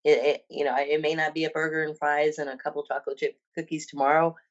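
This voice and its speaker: A woman's voice: low voice, little pitch movement, fast, highs cut off. A woman in her fifties, a personal trainer and bodybuilder, talking casually as a podcast guest with many ums and restarts. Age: 50s.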